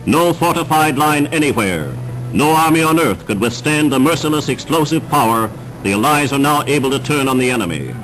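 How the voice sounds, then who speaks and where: A man's voice: medium pitch, expressive, fairly quick, a bit bright, poor audio. A man reading a wartime newsreel script in a formal, emphatic, declamatory 1940s style. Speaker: newsreel narrator. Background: dramatic newsreel music.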